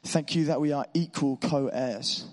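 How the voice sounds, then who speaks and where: A man preaching a sermon in church, encouraging and informal, his voice a little hoarse from being unwell. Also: on the high side, measured pace. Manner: warm, encouraging, informal with asides. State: slightly hoarse voice. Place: church hall. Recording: long hall reverb.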